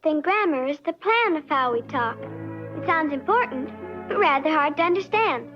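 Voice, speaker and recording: A woman's voice: high voice, animated, normal speed, over music. A child actress playing a curious, doubtful schoolgirl, earnest and wondering, in a 1950s educational film. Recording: dull vintage film soundtrack.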